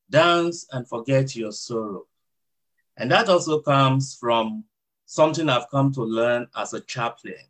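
A man with a Nigerian accent, a hospital chaplain, answering interview questions in a reflective, measured way with frequent fillers. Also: medium pitch.

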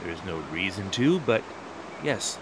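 A man's voice: low-pitched, expressive, unhurried, noisy background. A man playing a proud, defensive border wall guard in a one-act audio play, arguing emphatically. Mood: indignant. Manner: emphatic, argumentative.

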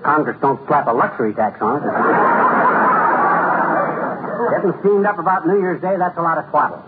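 A man's voice: on the high side, animated, fast, natural voice. Man playing a blustery American husband, tinny radio. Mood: grumbling, sardonic. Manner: folksy slang, sardonic. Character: grumbling, wisecracking middle-aged husband, folksy and blustery. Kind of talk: 1950s radio comedy acting. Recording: tinny, noisy old radio broadcast.

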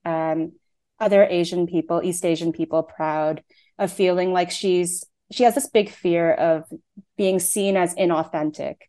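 A woman's voice: lowish voice, fairly even intonation, a little slow, mellow. A young woman in her twenties from Canada, a playwright and actor, chatting through interview answers with frequent "um" and "like" fillers.

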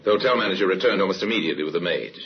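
An actor as the grave narrator of a crime mystery, in vintage radio style. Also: male voice, low-pitched, lively intonation, rapid-fire, slight background noise. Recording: dull vintage radio recording with music.

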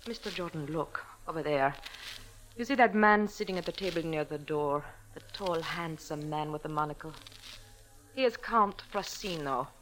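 A woman's voice: deep voice, normal speed, a bit bright. A woman playing a proud, anxious cafe dancer with a formal, pleading manner in a 1940s radio drama. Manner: formal, pleading, haughty when crossed. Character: proud, anxious young female cafe dancer seeking help. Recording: noisy old radio recording with clicks.

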